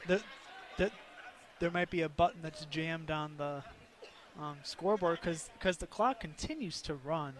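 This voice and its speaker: High-voiced male basketball color commentator. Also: a little slow. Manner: conversational, explaining game strategy. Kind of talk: live radio sports analysis.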